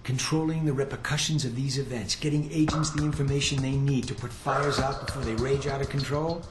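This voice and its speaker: A man's voice: mid-range voice, steady tone, fairly quick, background noise, close to an everyday voice. A man playing a curt, condescending intelligence analyst in a video game, with dry, clipped, formal delivery. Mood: lecturing. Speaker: intelligence analyst and mission handler. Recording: roomy echo, loud game music behind.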